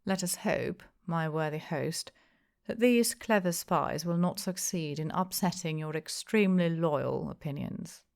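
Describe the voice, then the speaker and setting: A woman voicing a courteous gentleman stranger in an audiobook, quiet and polished, with gently mocking politeness. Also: sits low, measured pace, gravelly. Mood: smooth, cheerful.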